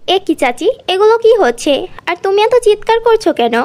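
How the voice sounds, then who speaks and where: A woman's voice: very high-pitched, big pitch swings, moderate pace, darkish tone. A woman playing a kind, forgiving young niece, polite and earnest, in a fairy-tale cartoon. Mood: surprised.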